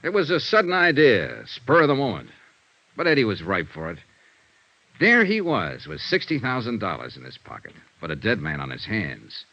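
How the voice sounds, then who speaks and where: An actor playing a shrewd, cool-headed investigator in 1950s radio detective drama, with a brisk, hard-boiled, confident way of reasoning. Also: male voice, on the low side, huge pitch swings, pushed and bright, no echo, exaggerated voice. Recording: dull vintage radio recording.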